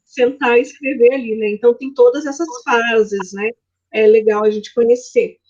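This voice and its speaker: A woman's voice: a little high, steady tone, moderate pace, a little mellow. A woman hosting an interview, asking long winding questions with frequent "né". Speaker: host, interviewer. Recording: dull, muffled audio.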